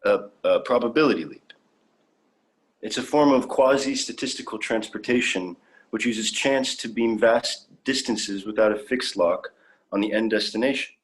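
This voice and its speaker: A man's voice: lowish voice, normal speed, close to an everyday voice. A man acting an eager, naive young alien visitor in a sci-fi drama, wide-eyed and blithe. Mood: earnest. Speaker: actor. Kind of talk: dramatic fan fiction performance.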